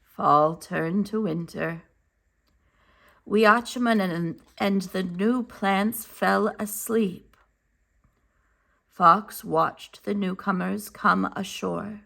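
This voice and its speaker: A woman reading a children's picture book aloud as a teacher, enunciating carefully and deliberately. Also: mid-range voice, gravelly, a bit bright. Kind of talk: slow, expressive children's read-aloud narration.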